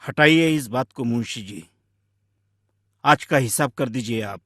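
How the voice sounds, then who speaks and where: A man playing a troubled young village husband in a Hindi animated moral story. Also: medium pitch, unhurried, dry recording, studio quality. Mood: fed up. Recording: music bed under the voice.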